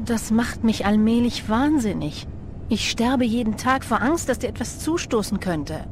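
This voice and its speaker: High-voiced loving young girlfriend. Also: lively intonation, unhurried, music in the background. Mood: anxious, pleading. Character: young woman, anxious, loving girlfriend of policeman. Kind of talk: audio drama acting.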